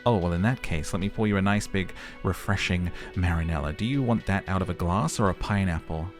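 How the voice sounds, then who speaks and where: A man's voice: low voice, brisk, soft background music, close to an everyday voice. A man voicing a curt, dismissive cafeteria manager in a video game. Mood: sarcastic. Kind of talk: role-played video game dialogue.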